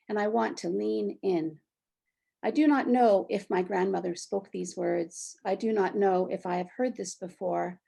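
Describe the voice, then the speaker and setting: A woman in her sixties from Canada, a poet giving a short spoken introduction and then reading her poem aloud in a reflective, measured way. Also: mid-range voice, creaky, warm tone, husky. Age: 60s. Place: near the Fraser River, British Columbia.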